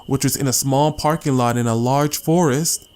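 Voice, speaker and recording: A man's voice: medium pitch, moderate pace, darkish tone, smooth. A man reading a scripted short story as a dramatic audiobook narrator. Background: music.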